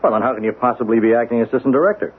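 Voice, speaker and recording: A man plays a bureaucratic general in a 1970s radio play, flustered and dismissive. He hems and harrumphs in a husky voice, heard through a narrow, phone-like old recording. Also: medium pitch, rapid-fire, lightly acted. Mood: puzzled, brusque. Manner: hesitant, harrumphing, repeats himself. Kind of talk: 1970s radio drama acting.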